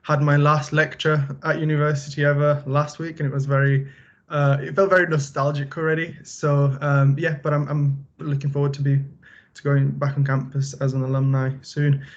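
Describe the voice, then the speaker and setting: A young man from Bulgaria, a final-year student, giving a relaxed, conversational talk with many fillers. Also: a little high, moderate pace, muffled recording. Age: young adult. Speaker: student presenter. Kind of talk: casual presentation.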